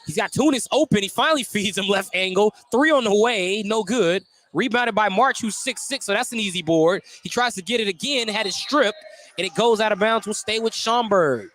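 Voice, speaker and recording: A man's voice: high voice, normal speed, fairly bright tone. A man doing live play-by-play basketball commentary on the radio in a jokey manner. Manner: jokey, often chuckling. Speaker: play-by-play announcer.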